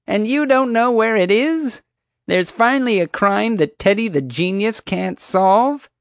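A man's voice: sits high, a little slow, no echo, studio quality, voice pushed up. A man voicing a rude, pompous, dim-witted woman security officer in an audiobook, with an officious, accusatory way of talking. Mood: smug, bossy. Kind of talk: audiobook character voice.

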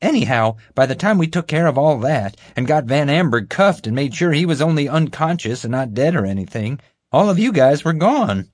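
A man voicing a bumbling, proud security guard in an audiobook, boastful, oblivious and excitable. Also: on the high side, moderate pace, no echo, clean studio sound, voice pushed up. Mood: defensive. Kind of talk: audiobook character voice.

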